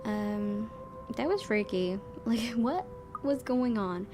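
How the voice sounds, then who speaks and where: A woman's voice: a little high, expressive, slow pace, music in the background. A woman hosting a gaming video, reading a visual novel aloud and commenting casually. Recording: clearly noisy home recording.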